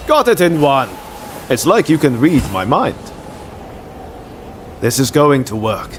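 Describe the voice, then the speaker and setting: A man's voice: medium pitch, sing-song, measured pace, soft background music. An actor playing a cocky, scheming swordsman in a samurai game, talking in a breezy, persuasive way. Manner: breezy, cajoling.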